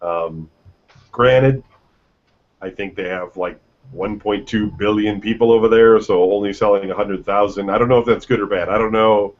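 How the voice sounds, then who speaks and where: A man's voice: fairly low pitch, steady tone, normal speed. A man hosting a casual gaming news podcast, reading headlines and joking around with loose, swearing banter. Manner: reads headlines then jokes, swears freely. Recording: dull lo-fi podcast audio.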